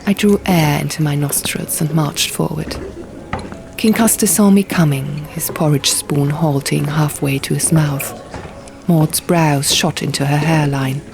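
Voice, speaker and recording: A woman's voice: low-pitched, steady tone, a little slow, gravelly, background noise. A woman reading literary fiction aloud as an audiobook narrator, telling the story in the first person, over music. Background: music and sound effects.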